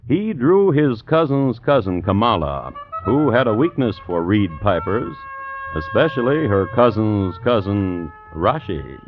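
A man narrating an old tale as a wry, measured storyteller in an old-time radio drama. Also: on the low side, very animated. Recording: dull old radio recording. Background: music.

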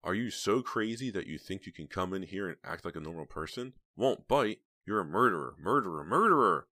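A man's voice: on the low side, very animated, brisk, a bit bright, no room sound, studio quality, pitched above the performer's own voice. A man voicing a tense, frightened young woman who hisses furious, accusing demands in an audiobook story. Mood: angry, whispering.

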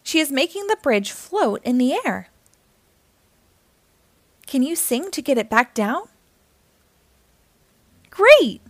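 Animated high-pitched woman reading to children. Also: slow, long pauses, dry recording. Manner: animated, encouraging, addressing young listeners directly. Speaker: children's storytime host. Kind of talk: read-aloud children's story narration.

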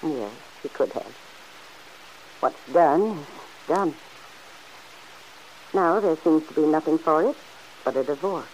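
An actress playing a kindly, principled older aunt who chides gently and earnestly in an old-time radio serial. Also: female voice, low voice, animated, takes it slowly, long pauses, poor audio. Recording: hissy old radio recording.

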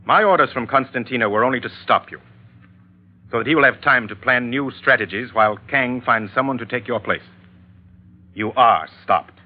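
A tinny vintage radio broadcast carries an actor as a suave male henchman of medium pitch. He speaks with dry, precise superiority, coolly condescending to everyone in this adventure serial. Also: normal speed, natural voice. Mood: smug, composed. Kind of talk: old-time radio drama acting.

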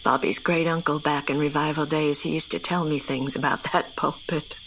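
An actress playing an elderly rural great-aunt, stern, worried and superstitious, speaking in a brusque, folksy, halting way in an audio drama. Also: female voice, deep voice, steady tone, fairly bright tone, slight background noise, poor audio. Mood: grave, troubled.

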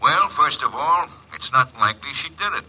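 An actor playing a blunt, forceful police inspector with a husky voice in an old radio drama. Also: male voice, mid-range voice, expressive, moderate pace, heavily acted, poor audio. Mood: blunt, businesslike. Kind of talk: 1950s radio drama acting.

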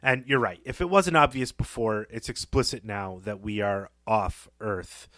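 A man co-hosting a podcast, discussing a story in a conversational, measured and analytical way. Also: on the low side, bright, forceful tone, no echo. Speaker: podcast host.

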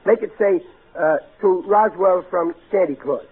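A very raspy, fairly high-voiced working-class father from an old radio comedy. He is good-natured and blundering, stammering through folksy, ungrammatical talk. The recording is tinny and narrow. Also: measured pace, full character voice. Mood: firm, flustered. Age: middle-aged. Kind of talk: 1940s radio comedy acting.

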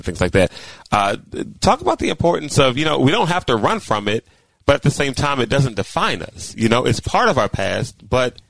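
A man co-hosting a talk show, friendly and quick to laugh, with stumbling restarts. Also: medium pitch, gravelly, no echo, low-quality recording. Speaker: co-host. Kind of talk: casual radio talk show conversation.

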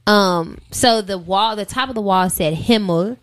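A woman's voice: medium pitch, unhurried. An American woman speaking casually as an interview guest, informal and reflective, thinking aloud as she answers. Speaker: interview guest, singer and rapper.

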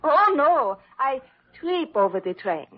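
An innocent, coy French young lady in a vintage radio play. She speaks fairly high, in French-accented broken English, on a muffled old recording. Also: big pitch swings, slow, a little hiss or hum, exaggerated voice. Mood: innocent, bright. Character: sweet French bride-to-be, female patient, naively charming. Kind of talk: old-time American radio drama acting.

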